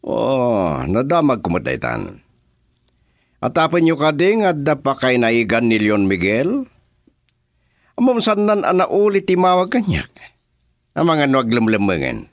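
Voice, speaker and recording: A man's voice: mid-range voice, huge pitch swings, unhurried, long pauses, no room sound, clean studio sound. A man with an Ilocano Filipino accent playing a commanding gang boss in a radio drama, shifting between easy banter and orders. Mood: brisk.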